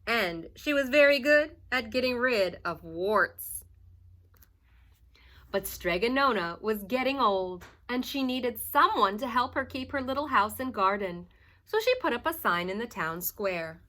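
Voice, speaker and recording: A woman's voice: a little high, lively intonation, unhurried, a bit bright. A woman reading a children's story aloud like a teacher, expressively and with dramatic emphasis. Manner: dramatic emphasis, asks listeners questions.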